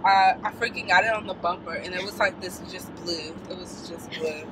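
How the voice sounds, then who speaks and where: Low-pitched young American woman, noisy car. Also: steady tone, fairly quick. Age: 20s. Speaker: vlogger. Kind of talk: casual chat, storytelling. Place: inside a moving car. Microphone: dashboard camera. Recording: noisy moving car, distant mic.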